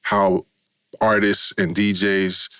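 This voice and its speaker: A man's voice: deep voice, little pitch movement, slow, fairly bright tone, smooth, no room sound. A man hosts a casual podcast interview. His speech is recorded dry and close, and he keeps the talk moving with easy back-and-forth affirmations. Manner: friendly, affirming, repeats "yeah" and "okay". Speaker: podcast host.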